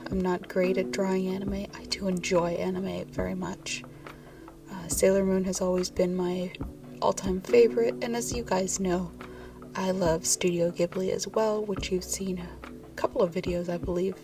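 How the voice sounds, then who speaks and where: Woman voice-over host, gravelly scratchy throat, soft half-whisper, lo-fi music clearly underneath. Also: lowish voice, flat delivery, normal speed. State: scratchy, congested-sounding throat. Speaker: journaling vlogger. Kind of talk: soft-spoken voice-over narration.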